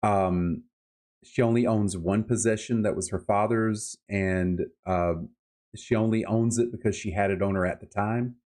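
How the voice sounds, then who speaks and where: A man's voice: fairly low pitch, fairly even intonation, measured pace, a little mellow. A man chatting casually and emphatically about books as a podcast host, with enthusiastic, colloquial delivery. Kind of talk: casual book-review chat.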